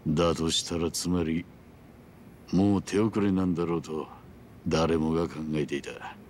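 A man playing a grave, world-weary middle-aged yakuza in a video game drama, speaking in measured, rough gangster phrasing. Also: extremely low pitch, steady tone, darkish tone, slight background noise. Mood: grave, matter-of-fact. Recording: music behind the voice.

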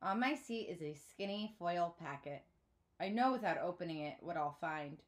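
A woman's voice: fairly low pitch, expressive, normal speed. A woman in her thirties narrating a children's book expressively, like a teacher reading aloud. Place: small indoor room at home.

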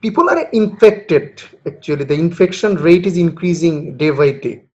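A man's voice: a little high, expressive, moderate pace, a little mellow, breathy edge, highs cut off. A man from Bangladesh, a freelance recruitment consultant, conducting a job interview over a video call with many hesitations.

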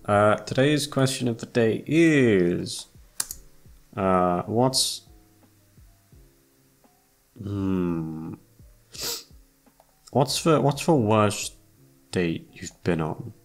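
A young man with a fairly low voice rambles casually to his audience over background music. He hesitates, doubles back and puts himself down, with plenty of swearing. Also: animated, drawn-out words, pauses often. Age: young adult. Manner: hesitant, self-deprecating, sweary, trails off. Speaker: YouTube voice actor and channel host. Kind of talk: casual rambling chat to listeners. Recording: music playing behind the voice.

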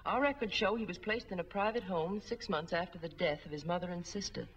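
An actress playing a businesslike middle-aged records official, formal and precise, in a 1940s film. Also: female voice, on the low side, brisk, close to an everyday voice. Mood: businesslike, regretful. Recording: muffled 1940s film soundtrack.

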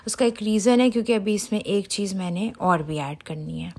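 A woman talks through a recipe as a home cooking host. Her pitch sits in the middle for a woman, and she is chatty and casual, speaking directly to her viewers as she explains each step. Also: moderate pace, darkish tone. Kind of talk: casual recipe voice-over tutorial.

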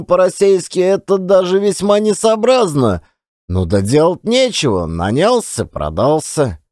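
A man's voice: sits high, darkish tone, dry recording, studio quality. A man performing a dramatized audiobook as a folksy Russian working man telling colloquial tales of his adventures. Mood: resigned, narrating. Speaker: audiobook narrator and actor. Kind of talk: one-man dramatized audiobook reading of classic fiction.

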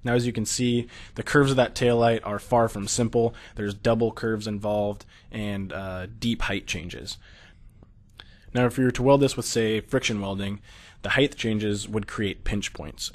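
An American man narrating a technical slideshow, explaining steadily with occasional fillers. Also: lowish voice. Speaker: product presenter.

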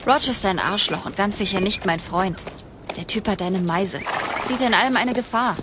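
A woman's voice: mid-range voice, normal speed, background noise, close to an everyday voice. A woman playing a blunt, feisty but loyal young dragon rider in a fantasy audio drama. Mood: irritated. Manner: blunt, slangy, sarcastic.

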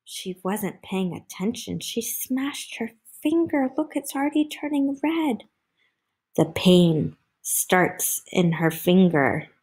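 Lady doing an animated kids read-aloud, slow pace, breathy husky voice, lots of little questions. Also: lowish voice. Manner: animated, asks young listeners questions. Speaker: storyteller reading a picture book aloud. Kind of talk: expressive children's read-aloud with chatty asides.

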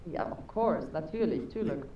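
A woman's voice: deep voice, expressive, normal speed, echoey room, far from the mic, highs cut off, slight background noise. A young woman chatting as an interview guest over a video call with a headset, talking casually with lots of fillers. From Spain. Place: home room with neon sign, indoors.

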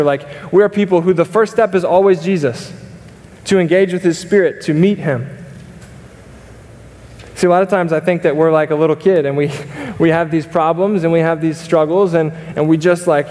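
A man's voice: fairly high pitch, a little mellow. A young man preaching a sermon from a church stage, earnest and conversational, with many fillers and restarts. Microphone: stage mic, wide camera. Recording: long reverb of a church hall.

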